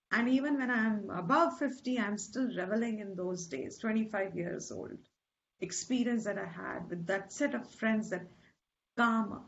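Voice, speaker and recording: A woman's voice: high voice, dull audio. A woman giving a Vedanta class lecture, explaining terms patiently and repeating key words, with asides in Hindi. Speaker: Vedanta teacher.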